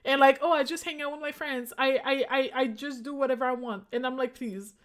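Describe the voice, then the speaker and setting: A woman's voice: high voice, steady tone, a little fast. A woman streamer giving casual live commentary as she reacts to a video.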